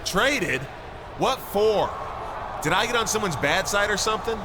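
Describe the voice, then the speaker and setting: Self-assured wrestler, noisy track. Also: male voice, sits high, expressive, measured pace. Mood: questioning. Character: self-assured male pro wrestler, dry and cheeky. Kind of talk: video game cutscene dialogue. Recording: noisy game cutscene audio.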